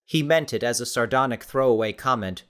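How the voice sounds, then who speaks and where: A man's voice: medium pitch, brisk, a bit bright, clean tone. Man narrating a dramatic audiobook, mid-range voice. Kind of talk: scripted dramatic story narration.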